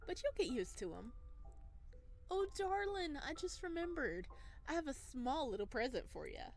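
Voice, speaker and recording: A woman's voice: sits high, sing-song, normal speed, voice pushed up. A woman voicing a playful, flirtatious and possessive male dream being from a visual novel, with teasing endearments. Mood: playful, welcoming. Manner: teasing, doting, theatrical endearments. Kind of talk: visual novel character read-aloud. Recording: background music behind voice.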